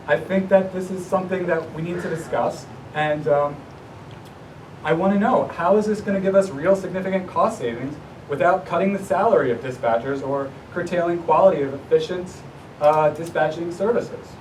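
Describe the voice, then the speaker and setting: Male resident for formal, earnest public-comment speech; deliberate emphasis, recorded at a distance with rumbling background noise. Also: a little mellow. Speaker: resident addressing a town council. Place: indoor public council meeting. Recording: distant mic, noisy room with low rumble.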